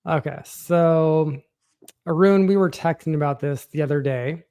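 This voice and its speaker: A man's voice: fairly high pitch, unhurried, warm tone, smooth. An American man hosting a podcast, chatting casually about politics in a blunt, informal way. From Washington State, United States. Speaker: podcast host.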